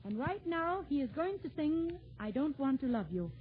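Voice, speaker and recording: Female 1940s radio host, high voice, formal scripted introductions, muffled narrow-band sound. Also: very animated, normal speed. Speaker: show host.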